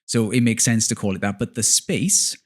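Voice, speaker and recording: A man speaking as a guest on a podcast about his plans, earnest and enthusiastic, with "um" fillers and quick self-corrections. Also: fairly low pitch. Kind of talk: casual interview chat.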